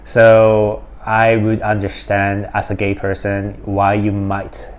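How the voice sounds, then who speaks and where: He is a man from Japan with a lowish voice, hosting a reaction video. He talks casually and gives his opinions bluntly, with a sarcastic edge. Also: completely flat delivery, slow pace.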